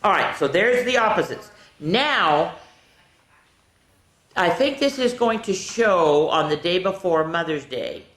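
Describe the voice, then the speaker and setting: Slow-paced woman reading storytime in echoey room. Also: on the low side. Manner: animated, talks directly to children, stretches key words. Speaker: children's storytime host. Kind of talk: reading picture books aloud to young children with asides. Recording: roomy, echoey room sound.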